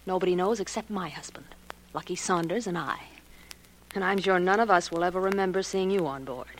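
An actress in a 1940s radio drama playing a jealous wife who makes cool, deliberate threats. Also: female voice, fairly low pitch, close to an everyday voice. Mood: menacing. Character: jealous, menacing wife holding a gun. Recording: noisy old 1940s radio recording.

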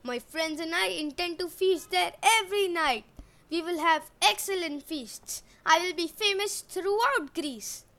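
Woman doing a gruff, bellowing arrogant prince. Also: very high-pitched, unhurried, sharp tone, pitched above the performer's own voice, full character voice. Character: arrogant boastful young male prince.

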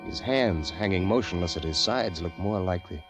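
An actor as a haunted, nervous poet in vintage radio drama. His very low voice is halting and intense, with music playing clearly behind him. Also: lightly acted. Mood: uneasy, suspenseful. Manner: hushed, deliberate, halting, intense. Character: nervous poet fleeing the world, haunted narrator. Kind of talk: 1940s radio acting, dramatic first-person narration.

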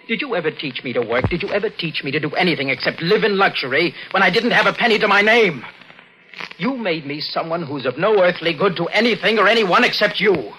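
Intense young radio-thriller villain, highly animated. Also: male voice, brisk. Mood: bitter, angry. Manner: melodramatic, intense. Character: desperate, resentful young male ward turned cold murderer. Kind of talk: 1940s radio thriller acting. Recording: noisy vintage 1940s radio recording.

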